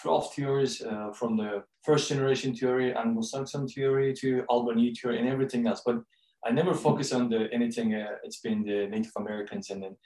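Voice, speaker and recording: A man from Turkey with a Turkish accent, speaking English with many pauses and fillers as a guest on a podcast interview. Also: medium pitch, fairly even intonation, dark tone, echoey room, far from the mic, poor audio. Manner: halting, many fillers, searching for words. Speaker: guest, co-author and professor.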